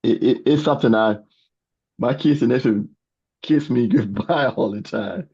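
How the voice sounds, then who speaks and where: An older man in his sixties or beyond, answering interview questions as a guest author in a halting way, often repeating words. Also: mid-range voice, mellow, slightly rough. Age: 60s or older. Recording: dull, muffled lo-fi sound.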